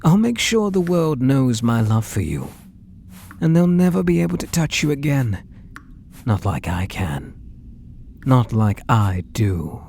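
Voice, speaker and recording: Man playing a mellow, slow British obsessive artist. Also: medium pitch, big pitch swings, a little hiss or hum. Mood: intense devotion. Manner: slow, breathy, doting, menacing murmur with soft whispers. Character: obsessive, possessive male painter doting on his captive muse. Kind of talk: ASMR roleplay, intimate dramatic monologue.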